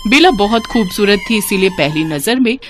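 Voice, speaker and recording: A woman's voice: medium pitch, animated, normal speed, music in the background. A woman storyteller reading scripted fairy-tale narration for a cartoon in a dramatic storytelling manner.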